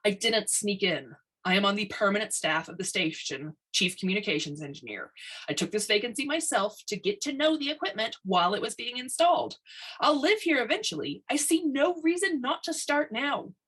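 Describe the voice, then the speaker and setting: A self-possessed woman engineer as a female storyteller acts her out, brisk and unflustered. She stands her ground defiantly in a mid-range, slightly husky voice. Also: medium pitch, animated, fairly bright tone, pitched above the performer's own voice, rough recording. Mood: firm, composed. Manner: brisk, crisp-worded, unflustered.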